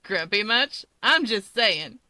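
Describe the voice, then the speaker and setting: A woman voicing a brash, teasing young rabbit-woman hero, loud, cocky and chatty in an audiobook-style story. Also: medium pitch, animated, slow pace, no room sound, exaggerated voice. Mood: playful.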